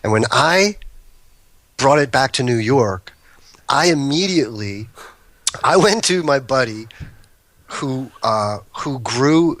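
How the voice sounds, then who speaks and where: Bright-toned man telling rambling stories. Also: mid-range voice, no echo. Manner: rambling, self-interrupting anecdotes. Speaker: guest, cannabis breeder. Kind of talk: casual storytelling chat.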